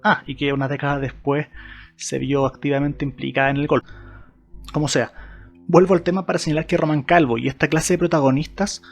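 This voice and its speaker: A man's voice: a little high, fairly even intonation, fairly quick, gravelly, soft background music. A man with a Chilean accent, hosting his channel with scripted video essay narration addressed to viewers. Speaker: YouTube channel host.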